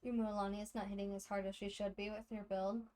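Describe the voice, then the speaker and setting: A woman's voice: mid-range voice, flat delivery, fast, a little mellow. A woman livestreamer giving casual, chatty gameplay commentary to her viewers, speaking off the cuff. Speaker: game livestreamer.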